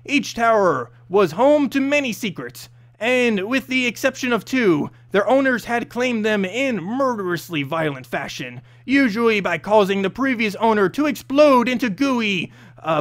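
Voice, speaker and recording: A man's voice: high voice, lively intonation, normal speed, a bit bright. A man reading a scripted fantasy story as narrator, expressively, with an occasional stumble over the text.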